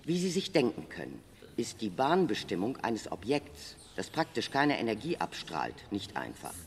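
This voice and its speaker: Defensive male agency spokesperson: animated intonation, pointed and formal, long hall reverb. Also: lowish voice, normal speed. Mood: defensive, impatient. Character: defensive, officious space agency press spokesperson. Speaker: radio drama actor. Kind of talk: press conference statement in radio drama.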